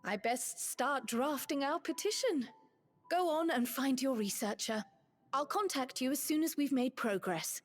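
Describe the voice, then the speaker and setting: A woman playing a brisk, whimsical scholar in a fantasy video game, with slightly quaint phrasing. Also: high voice, lively intonation, soft background music. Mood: businesslike.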